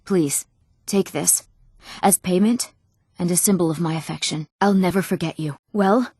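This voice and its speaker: A woman's voice: fairly low pitch, normal speed, mellow, husky, dry recording, studio quality. A woman voicing an emotive, dramatic young woman in a fantasy video game. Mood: grateful. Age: young adult. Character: eager, wronged young woman of a fantasy world. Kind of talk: video game character voice lines.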